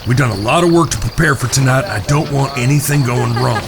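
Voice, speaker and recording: An actor in an audio drama playing the cautious, bossy older brother who leads Old West outlaws, with a gravelly voice. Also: male voice, medium pitch, quick pace, background noise, lightly acted. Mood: firm, weary. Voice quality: creaky, gravelly.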